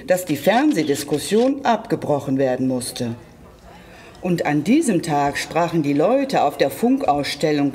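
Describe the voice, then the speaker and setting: Children's story reader: mid-pitched, very varied intonation, deliberate and direct to young listeners. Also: darkish tone. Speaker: storyteller reading aloud for a library. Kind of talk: expressive children's story reading.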